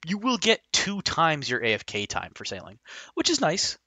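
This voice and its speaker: A man narrating a casual game guide over screen footage, rambling and hesitant with frank opinions, his voice slightly hoarse. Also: a little high, huge pitch swings, normal speed, pushed and bright, no room sound.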